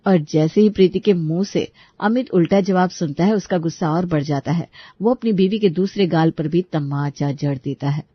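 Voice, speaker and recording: A woman's voice: fairly low pitch, moderate pace, few pauses, husky, no room sound, highs cut off. Woman narrating a dramatic story.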